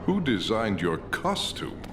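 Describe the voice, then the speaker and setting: Scheming criminal genius, deep and pompous, sneering with lively inflection, for a cartoon game. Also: male voice, measured pace. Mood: cold disdain. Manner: pompous, sneering, drily sarcastic. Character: arrogant, scheming male criminal mastermind. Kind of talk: animated video game acting. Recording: loud music behind the voice.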